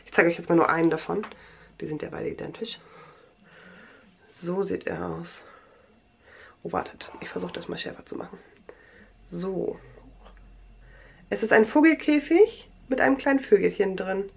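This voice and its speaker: A woman's voice: on the low side, moderate pace, creaky, long pauses, slight background noise. A young woman with a cold, rambling chattily through a show-and-tell vlog in a small bedroom, full of fillers and restarts. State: has a cold. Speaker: vlogger.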